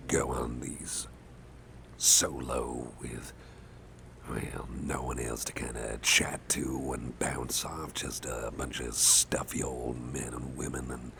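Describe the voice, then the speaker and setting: A man playing a caring, affable boss in an ASMR roleplay, talking softly in a gentle, hesitant, chatty way with a gravelly voice. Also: exaggerated voice. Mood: friendly, chatty. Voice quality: deep, gravelly, breathy at times. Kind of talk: ASMR roleplay, soft close personal talk. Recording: faint background noise.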